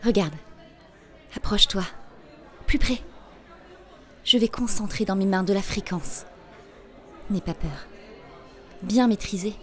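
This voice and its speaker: A woman acts a young underground rebel guide in an audio drama. She is guarded and urgent as she instructs a newcomer, in a mid-pitched voice over faint music. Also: lightly acted. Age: young adult. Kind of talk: scripted audio fiction acting.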